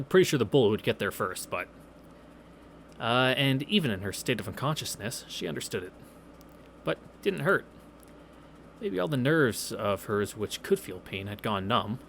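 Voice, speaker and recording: A man's voice: on the low side, very animated, normal speed, gravelly, pauses often, a bit bright, slight background noise. A man reading a visual novel aloud in a casual way, dropping sarcastic, sweary asides. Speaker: let's play host reading aloud. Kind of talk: casual read-through narration with wry asides. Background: game music.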